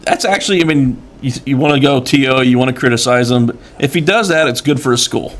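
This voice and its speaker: Man, co-host, rapid delivery, analytical, casual sports chat. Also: mid-range voice.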